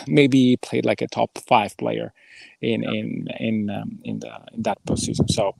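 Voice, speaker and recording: This man has a creaky, gravelly edge to his voice and a dry sound with little room to it. He joins a sports podcast remotely as co-host. His analysis is casual but measured, coming out in halting, non-native English phrasing. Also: on the low side, steady tone, a little mellow, no echo, rough recording. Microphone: remote call over mobile connection.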